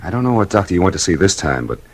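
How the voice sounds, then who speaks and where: A man playing a firm, old-fashioned husband in 1940s radio drama style, insistent and husky. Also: deep voice, sing-song, racing, heavily acted. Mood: firm, exasperated. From United States. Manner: insistent, emphatic.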